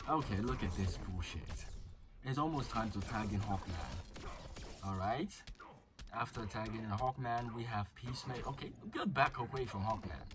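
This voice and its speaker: A man's voice: medium pitch, normal speed. A young man with a flu giving casual, crude commentary over a mobile game. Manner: chatty, crude jokes, thinking aloud. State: slightly sick with flu.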